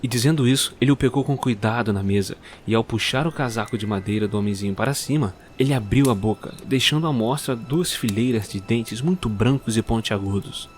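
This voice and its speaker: A man narrating a dark Christmas tale in a measured, suspenseful manner that speaks directly to the listener. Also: mid-range voice, a little hiss or hum. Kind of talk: scripted storytelling narration.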